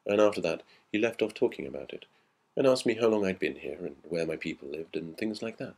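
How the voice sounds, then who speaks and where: A man's voice: deep voice, lively intonation, a little fast, a little mellow. A man voicing a puzzled, earnest schoolboy who chats in old slang within a ghost story reading. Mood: confiding. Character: schoolboy recounting an odd episode, puzzled and earnest. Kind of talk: audiobook character dialogue in a ghost story.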